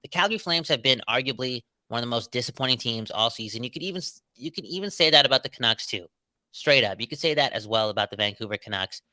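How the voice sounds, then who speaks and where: A man's voice: mid-range voice, lively intonation, quick pace, pushed and bright, dry recording. A man co-hosting a sports podcast, talking in a casual, emphatic, slangy way with lots of "right?" and "bro". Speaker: podcast co-host.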